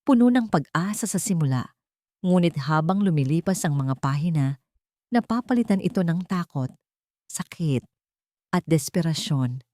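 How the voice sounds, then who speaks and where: A Filipino woman narrates a scripted drama as a storyteller. Her voice sits on the low side and has a soft, dark, mellow tone. The recording is dry and close. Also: expressive, normal speed, pauses often, no room sound.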